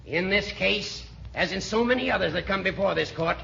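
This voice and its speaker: An actor playing a crotchety, sanctimonious old judge in 1940s radio comedy style, formal, pompous and scolding. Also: male voice, high voice, normal speed, gravelly, sharp tone, full character voice. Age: older. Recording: muffled, hissy 1940s radio broadcast.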